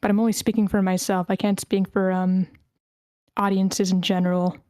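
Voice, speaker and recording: A woman with a smooth, clear voice hosts an online meeting in a relaxed, informal way. Her tone is mellow and the sound is dry and close. She is a little hesitant as she talks, and accommodating with the people taking part. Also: medium pitch, normal speed, no room sound. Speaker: host of an online screenplay table read. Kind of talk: casual meeting hosting. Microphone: close microphone on an online voice call.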